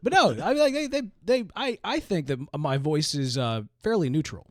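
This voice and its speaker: A man co-hosting a podcast, talking in an animated, casual way with lots of fillers and restarts. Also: fairly high pitch, a little fast, no room sound, clean studio sound. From Southern US. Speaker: podcast co-host. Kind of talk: casual chat.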